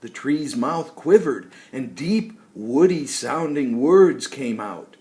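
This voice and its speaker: A man's voice: medium pitch, lively intonation, slow, mellow. An older man narrating a children's story expressively, as a storyteller.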